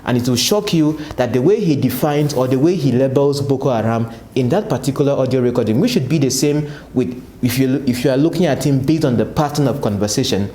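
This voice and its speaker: A man's voice: medium pitch, brisk, hardly any pauses, dark tone, roomy sound. A Nigerian man giving political commentary to his viewers, asking and answering his own rhetorical questions. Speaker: political analyst, channel host. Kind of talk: commentary to camera.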